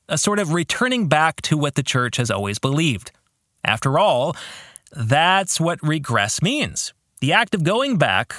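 A male podcast host talks through a book in a reflective monologue. His voice sits a little high and moves expressively up and down, with deliberate phrasing aimed straight at the listener. The sound is close and dry. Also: fairly bright tone, no echo.

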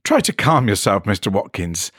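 A composed woman doctor in an audio story, acted out by a man. She is polite, soothing and professional, in a lively, close-recorded delivery. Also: lowish voice, normal speed, breathy edge, no room sound, heavily acted. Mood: calm, professional.

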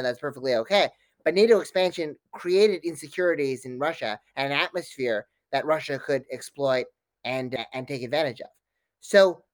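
A man's voice: on the high side, no room sound. A man speaking as an expert interview guest, giving analytical answers in long, detailed, emphatic sentences. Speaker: academic guest, foreign policy expert.

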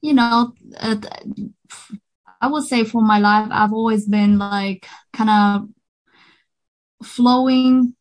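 A woman in her thirties with a Vietnamese accent, talking about herself in a relaxed interview. She is upbeat and halting, and her sentences stop and start around plenty of fillers. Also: on the high side, rough recording. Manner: hesitant, many fillers and restarts, upbeat. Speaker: singer, interview guest. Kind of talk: casual interview chat.

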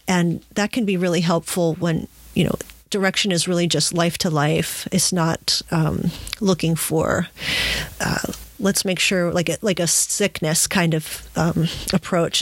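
A woman's voice: lowish voice, no room sound. A woman talking conversationally as an interview host, thinking aloud and often rephrasing herself as she goes. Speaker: podcast host and spiritual director.

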